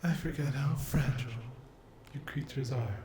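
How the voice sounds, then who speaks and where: A man voicing a cruel, mocking sleep paralysis demon in a whispered ASMR horror roleplay, taunting the listener with menace and mock-sweet endearments in a breathy, gravelly voice. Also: mid-range voice, normal speed, dark tone, a little hiss or hum, lightly acted. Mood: cold, threatening.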